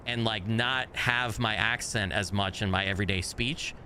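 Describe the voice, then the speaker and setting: A man's voice: lowish voice, normal speed, pushed and bright, a little hiss or hum. Rambling American man streaming a game. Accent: faint American regional. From United States. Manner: rambling, restarts sentences often. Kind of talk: casual gameplay chat with viewers.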